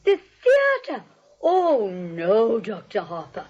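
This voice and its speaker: A woman's voice: on the high side, wildly swooping pitch, slow pace, darkish tone, heavily acted. A woman playing a sweet, chatty elderly spinster aunt in a comic radio play, gushing and politely hospitable. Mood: earnest, fussing. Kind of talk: radio play comedy acting. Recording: dull lo-fi radio broadcast.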